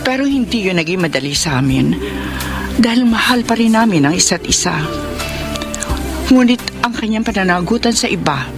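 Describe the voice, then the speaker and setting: A woman's voice: medium pitch, lively intonation, moderate pace, long pauses, close to an everyday voice. An actress with a Filipino accent playing a heartbroken older woman giving up her younger lover in a radio drama. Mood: sorrowful. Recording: loud music under the voice.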